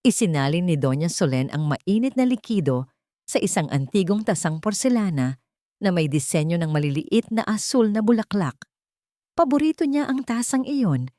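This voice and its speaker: A woman narrating a melodramatic audio story in a steady, dramatic storytelling manner. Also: on the low side, no echo, clean studio sound.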